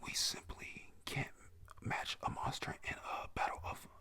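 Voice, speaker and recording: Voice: unhurried, sharp tone. A reader whispering the lines of a grim, determined male pirate captain in a storybook-style recap.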